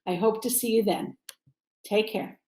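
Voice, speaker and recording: A woman's voice: mid-range voice, steady tone, a little slow, darkish tone, roomy sound. A woman delivering a prepared announcement to a congregation as a church campaign speaker.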